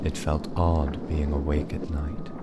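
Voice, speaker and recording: A man narrating a bedtime story in a dramatic, measured and suspenseful style. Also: extremely low pitch, little pitch movement, heavy background noise.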